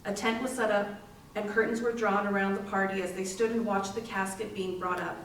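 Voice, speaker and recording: A woman's voice: mid-range voice, fairly even intonation, a little fast, natural voice. A woman playing a grieving sister, telling her story as a readers theater monologue. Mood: solemn. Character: grieving sister recounting an exhumation. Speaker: community theatre actor. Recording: echoey room, distant mic, faint noise.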